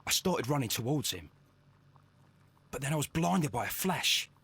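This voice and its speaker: A man's voice: mid-range voice, very animated, moderate pace, bright, forceful tone, breathy edge, full character voice. A man playing an anxious young working-class Victorian with a London accent in a video game, earnest and stammering as he speaks. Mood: shaken, earnest. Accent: English, London. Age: young adult.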